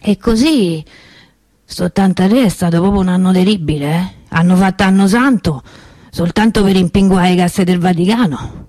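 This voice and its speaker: Woman doing a wry roman street seller lady, theatre monologue, chatty and halting, kinda fed up, roman accent, dry sound. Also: on the low side, warm tone, no echo, close to an everyday voice. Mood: bitter, resigned. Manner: conversational, hesitant, peppered with tag questions. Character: plain-spoken, wry Roman woman street vendor, cheated and resigned. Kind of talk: colloquial storytelling monologue.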